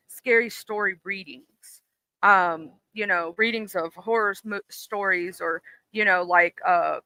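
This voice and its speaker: A livestream host who reads a horror story aloud in a steady narrating voice, then chats casually with viewers, with many fillers and restarts. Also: mid-range voice, clear, resonant tone, dry recording, poor audio.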